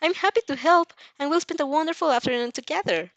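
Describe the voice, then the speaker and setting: Woman voicing a bubbly, high-pitched excitable teenage girl. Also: quick pace, no echo, lo-fi sound. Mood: cheerful, eager. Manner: gushing, chirpy, exclaiming.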